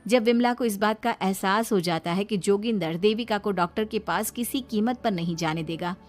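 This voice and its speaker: A woman's voice: medium pitch, moderate pace. A woman reading a scripted dramatic story narration for a cartoon.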